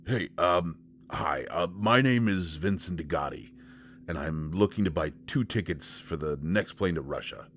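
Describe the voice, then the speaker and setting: Audio drama role: male actor as plain-spoken, nervous, protective country man, deep register, halting folksy delivery, slight noisy ambience. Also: animated, a bit bright. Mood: folksy, determined. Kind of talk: intimate roleplay audio drama acting.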